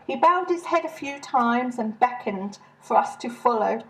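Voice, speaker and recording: A woman reads a humorous first-person story. Her voice sits a little high, and she delivers it as scripted narration by the author. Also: animated, a little slow, a bit bright, rough recording. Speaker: author reading own short story.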